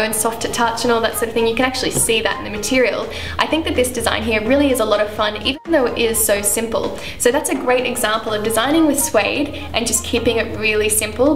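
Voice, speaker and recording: A woman's voice: fairly high pitch, gravelly, roomy sound. A young woman presenting shoes to camera in a friendly, chatty way, with frequent fillers. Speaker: shoe brand presenter. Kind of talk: product walkthrough to camera. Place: indoor room, seated in armchair.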